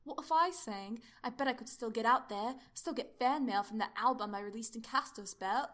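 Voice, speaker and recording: Excitable female time traveller acted out by a woman, higher voice, affectionate, chatty, musing, audio storybook. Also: moderate pace, natural voice. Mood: pleased, playful.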